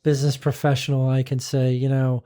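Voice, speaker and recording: A man's voice: medium pitch, monotone, brisk, warm tone. A man co-hosting a podcast, chatting upbeat and rambling with fillers. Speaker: podcast co-host. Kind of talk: casual chat.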